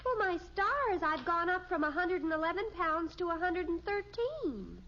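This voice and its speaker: Casting: self-conscious American teen sister, emphatic and lively, high voice, dull vintage radio sound. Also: female voice, normal speed, full character voice. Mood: dramatic fretting. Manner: overdramatic, emphatic. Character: dramatic, self-conscious, sharp-tongued teenage daughter. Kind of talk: 1950s radio sitcom acting. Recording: muffled old radio recording, faint hiss.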